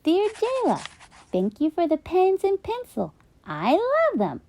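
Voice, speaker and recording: Woman acting out a little birthday girl, high-pitched, very animated, sing-song, cheerful, storybook read-aloud. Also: measured pace, exaggerated voice. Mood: cheerful, grateful. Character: cheerful little birthday girl writing thank you letters.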